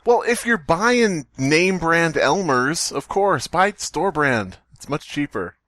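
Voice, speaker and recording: A man's voice: a little high, sing-song, takes it slowly, a bit bright, no room sound. A man co-hosting a comedy podcast, riffing playfully and bantering casually as a story is read aloud. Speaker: podcast co-host.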